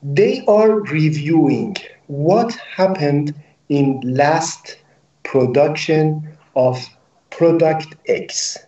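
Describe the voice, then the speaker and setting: Muffled, roomy video-call audio carries a man in his forties with a Persian accent. He is a native Persian speaker who explains things slowly and deliberately in halting English, as an executive being interviewed. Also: a little high, mellow, far from the mic. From Iran. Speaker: company managing director, interview guest. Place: indoor office room with hard ceiling. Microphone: video call, laptop at desk distance.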